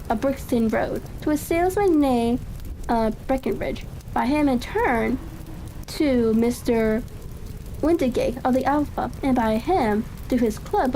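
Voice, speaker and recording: A woman's voice: a little high, warm tone, slight background noise, rough recording. A woman voicing a courteous, confident male detective in a storybook reading, polite and cheery. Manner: bland, politely cheery, self-assured. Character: confident, courteous gentleman detective, gleefully all-knowing.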